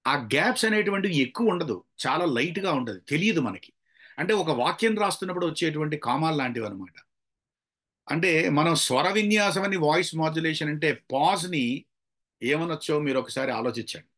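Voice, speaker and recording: A man's voice: on the high side, expressive, fairly quick, a bit bright. A man giving an instructional public speaking talk, explaining with examples and putting questions to his listeners.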